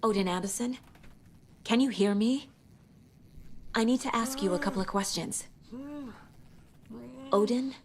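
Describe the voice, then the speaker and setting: An actress playing an earnest, troubled investigator in a video game, questioning in a hushed, intense manner. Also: female voice, mid-range voice, measured pace, long pauses, a crowd in the background, lightly acted. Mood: urgent. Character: earnest, troubled woman investigator probing her own mind. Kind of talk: cinematic video game acting. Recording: noisy game ambience behind.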